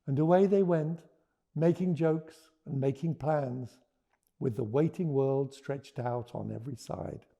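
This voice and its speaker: A man speaking as a children's storyteller, with earnest, deliberate phrasing. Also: mid-range voice, creaky, warm tone, highs cut off. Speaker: author and storyteller. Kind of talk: expressive picture-book read-aloud and informal explanation.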